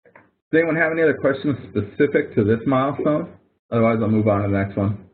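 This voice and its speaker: A man's voice: mid-range voice, lively intonation, quick pace. Man teaching casually over a phone-like line. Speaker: instructor leading an online help session. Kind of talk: casual screen-share walkthrough of a spreadsheet exercise. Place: online web conference. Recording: muffled narrow phone-like audio.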